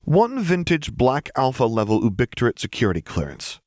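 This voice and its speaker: A man's voice: mid-range voice, huge pitch swings, normal speed, a little mellow, no echo, clean studio sound, voice pushed up. A man voicing a mischievous Jedi master with a breezy, teasing, mock-innocent farm-boy manner in an audiobook story. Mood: daring.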